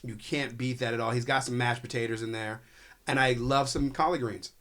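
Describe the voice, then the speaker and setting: A man's voice: mid-range voice, steady tone, brisk, a bit bright. A man co-hosting a podcast in casual, playful, slangy chat. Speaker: podcast co-host.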